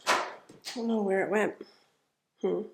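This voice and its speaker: A young woman talks her way through a makeup routine at home, her voice a little blocked and stuffy from congestion. She keeps it relaxed and conversational, filling gaps with small words as she works. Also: medium pitch, a little slow, heavy background noise. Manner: chatty, relaxed, narrating each step with fillers. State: stuffed-up nose. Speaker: beauty vlogger. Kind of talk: casual makeup tutorial narration.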